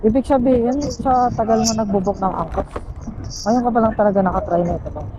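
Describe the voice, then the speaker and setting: A woman with a Filipino accent talks in a joking, teasing way as a rider vlogging on the job. She chats casually into a helmet intercom. Clear traffic and engine noise runs behind her. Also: a little high, brisk, highs cut off. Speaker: motorcycle taxi rider and vlogger. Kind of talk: casual chat with a passenger. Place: on a motorcycle on the street. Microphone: helmet intercom headset.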